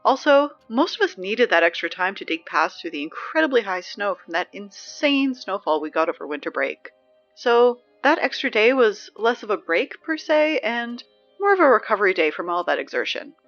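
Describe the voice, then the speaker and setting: A woman playing an anxious, wry high school girl reading morning announcements in an audio drama, rambling with sardonic, hesitant asides. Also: big pitch swings, moderate pace, fairly bright tone, highs cut off, faint music behind. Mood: upbeat, weary.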